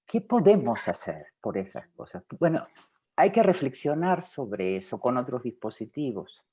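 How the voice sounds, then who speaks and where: A woman's voice: extremely low pitch, very animated, moderate pace, mellow, slight background noise. A woman from Uruguay with a Rioplatense Spanish accent, a film director answering interview questions in a reflective way, with many fillers and restarts.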